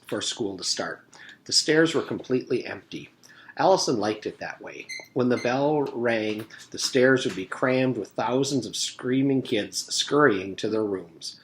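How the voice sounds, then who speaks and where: A man reading a children's book aloud as a teacher would for pupils, with expressive storytelling. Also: mid-range voice, unhurried, slight background noise. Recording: echoey, reverberant room.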